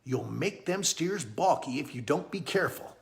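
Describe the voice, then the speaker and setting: Man reading as a folksy farmhand, animated voice. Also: on the high side, normal speed, gravelly. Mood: firm advice. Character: plain rustic farmhand giving firm, kindly advice to boys.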